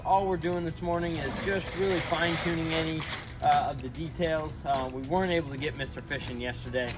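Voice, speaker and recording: Man, casual host, relaxed and upbeat, explains step by step, outdoors, background music. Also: medium pitch, poor audio. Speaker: pond builder, show host. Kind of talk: casual vlog narration. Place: outdoor backyard job site.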